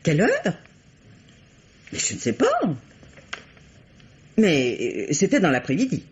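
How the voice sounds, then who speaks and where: A flustered older matron in a vintage radio mystery. Her delivery is exclamatory and very animated, swinging dramatically in pitch. The sound is dull and lo-fi. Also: slow, full character voice. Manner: exclamatory, theatrical, faltering. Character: flustered, easily scandalised bourgeois aunt and mother. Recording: dull, lo-fi old radio recording.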